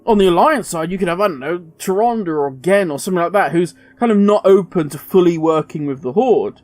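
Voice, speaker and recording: A man, a gaming commentator, musing aloud in a casual, conversational way, thinking out loud with fillers. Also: high-pitched, expressive, brisk, no echo, faint music behind. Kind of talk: casual speculative monologue.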